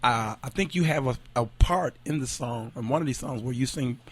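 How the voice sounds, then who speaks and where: A middle-pitched man hosting a relaxed radio interview. He talks in a loose, friendly way, fills gaps with ums and yeahs, and laughs easily with his guests. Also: fairly quick. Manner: friendly, laughs often, many fillers. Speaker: radio show host. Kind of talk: casual interview.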